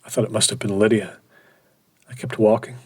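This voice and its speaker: Preoccupied male horror-drama narrator: lowish, husky, breathy delivery. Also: level tone, brisk, a little mellow. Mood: distracted, uncertain. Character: preoccupied man recounting following someone at night. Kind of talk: first-person horror audio drama monologue.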